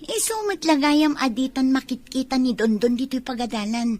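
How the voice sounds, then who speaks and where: High-voiced young female friend, played by an actress. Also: normal speed, darkish tone, faint music behind, close to an everyday voice. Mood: concerned. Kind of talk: Ilocano radio drama acting.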